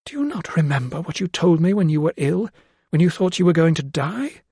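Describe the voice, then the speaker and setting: A man's voice: very animated, fairly quick, vocal fry, warm tone, slightly rough, no echo, clean studio sound, full character voice. A man reading an audiobook, voicing a distraught young noblewoman who pleads and cries out in desperation. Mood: pleading. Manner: tearful, pleading, shrill outbursts. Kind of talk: audiobook character voice.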